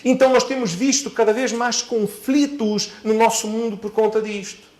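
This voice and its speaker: Male preacher, high-pitched voice, deliberate and emphatic sermon delivery with repeated phrases. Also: steady tone, darkish tone. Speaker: pastor preaching. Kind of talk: sermon to a church congregation.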